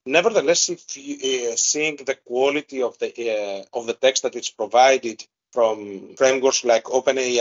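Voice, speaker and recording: A man from Greece with a Greek accent, speaking as a guest expert in a podcast interview and pausing often with fillers and restarts. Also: medium pitch, muffled recording. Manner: hesitant, many fillers and restarts.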